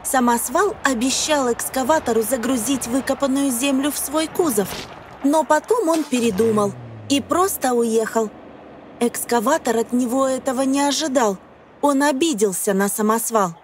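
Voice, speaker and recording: Female narrator, high voice, for educational children's cartoon; simple explanatory scripted delivery, faint background noise. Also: normal speed.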